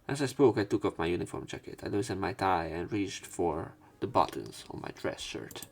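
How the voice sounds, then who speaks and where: Low, gravelly man reading a story. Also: expressive, normal speed, a little mellow. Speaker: let's player. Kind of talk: dramatic read-aloud narration of a visual novel.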